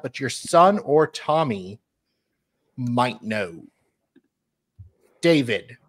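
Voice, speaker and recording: A man's voice: fairly high pitch, no room sound, studio quality. A man voicing an earnest, helpful book co-author in a dramatic reading of emails.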